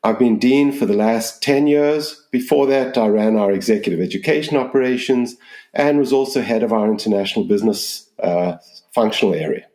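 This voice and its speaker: A man in his sixties from Zimbabwe with a mixed Southern African accent, answering interview questions in a measured, explanatory way. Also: fairly low pitch, dark tone, echoey room. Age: 60s. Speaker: business school dean, interview guest.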